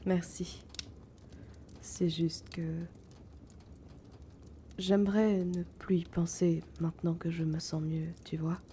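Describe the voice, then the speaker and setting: Woman playing a slow, soft-spoken young male potion seller. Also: fairly low pitch, little pitch movement, a little hiss or hum. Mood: grateful, soft. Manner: soft, hesitant, confiding, often breathy. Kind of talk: intimate fantasy audio drama acting.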